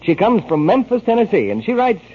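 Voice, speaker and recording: A man's voice: high voice, very animated, fairly quick, slight background noise. A man reading scripted advertising copy as a 1940s radio announcer. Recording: dull 1940s radio broadcast recording.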